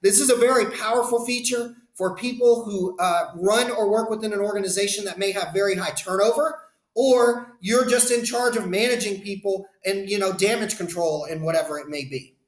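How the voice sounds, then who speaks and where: A man's voice: high-pitched, normal speed, roomy sound. A man walking viewers through a screen-share demo of voicemail to email, explaining in a conversational way and speaking to them directly. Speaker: business phone system consultant. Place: indoor room, webcam inset.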